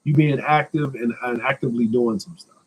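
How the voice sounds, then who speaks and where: A man's voice: medium pitch, fairly even intonation, brisk, breathy edge, poor audio. An American man in his thirties chatting casually as a live stream host, answering questions in a blunt, slangy, joking manner with some swearing. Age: 30s.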